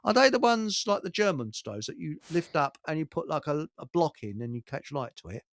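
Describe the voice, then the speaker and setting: An older man talks in a very animated, swooping voice, a little high for a man. He rambles jovially like a casual radio host, chuckling along, and the sound is close and dry. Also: brisk, no room sound. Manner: jovial, chuckles through his stories. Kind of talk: casual chat and rambling anecdotes.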